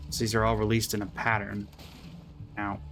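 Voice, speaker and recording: A man's voice: lowish voice, completely flat delivery, normal speed, a bit bright, soft background music. A man giving casual live commentary while playing a video game, with dry, muttered asides to himself and the game. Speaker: gaming streamer reacting to gameplay.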